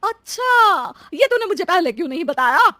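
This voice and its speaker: Woman acting a high-pitched, bossy old mother-in-law. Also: big pitch swings, moderate pace, sharp tone, no room sound, studio quality, full character voice. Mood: puzzled. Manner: bossy, wheedling. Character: demanding, nosy, lazy elderly mother-in-law. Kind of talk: animated story dialogue.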